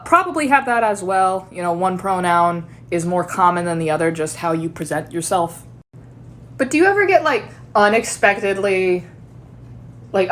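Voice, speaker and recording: A woman chatting casually and informally, as if talking to friends on a short personal video. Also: mid-range voice. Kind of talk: casual chat to camera.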